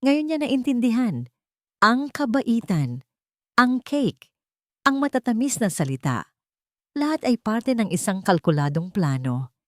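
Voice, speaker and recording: A woman with a Filipino accent narrating a scripted story in a measured, dramatic way. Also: fairly high pitch, sing-song, no echo, clean studio sound.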